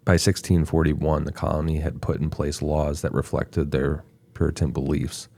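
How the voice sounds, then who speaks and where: Very deep gravelly man narrating. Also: darkish tone. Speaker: podcast co-host, researcher. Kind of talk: scripted narration.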